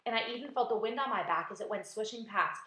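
A woman's voice: fairly high pitch, fast, pushed and bright. A fearful, complaining storybook earthworm played by a woman reader. He pleads and wails timidly, with roomy echo around the voice. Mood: fearful, wailing. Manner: pleading, wailing.

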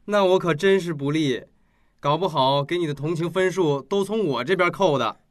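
Man playing a flippant, high-pitched teenage schoolboy. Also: normal speed, dry recording, clean studio sound, natural voice. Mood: flippant, scornful. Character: cynical teenage male high school student. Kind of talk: radio drama acting.

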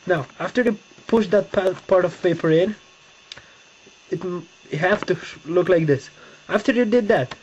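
A young man from Romania with a Romanian accent, Romanian as his first language, giving a halting step-by-step folding demonstration and searching for words, sitting on a bed in his bedroom and recorded on a webcam. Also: sits high, a little mellow. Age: young adult. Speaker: tutorial maker. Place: bedroom, seated on a bed indoors. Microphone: webcam close-up. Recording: dull lo-fi webcam audio with faint hiss.

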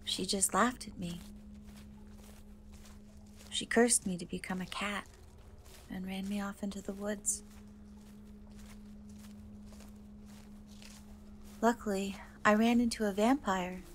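A woman playing a gentle, wistful young woman cursed into a half-cat, in a soft, hesitant dark fantasy ASMR roleplay. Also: mid-range voice, steady tone, a little hiss or hum, lightly acted. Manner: hesitant, soft, slow, often trailing off.

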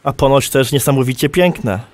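A man's voice: mid-range voice, moderate pace, lightly acted. An actor playing a curious, slightly skeptical man in a conversational audio drama, asking questions.

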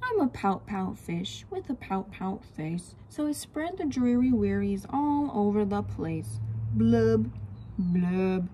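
A woman's voice: medium pitch, sing-song, slow, warm tone, a little hiss or hum. A woman voicing a glum, gloomy male fish in a children's storybook, speaking in mopey, resigned singsong rhyme.

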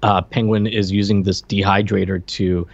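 A man's voice: fairly low pitch, little pitch movement, moderate pace, vocal fry, no echo. A man, co-hosting a casual film discussion from home. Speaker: podcast co-host. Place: small room at home. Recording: dry, slightly dull home-stream audio.

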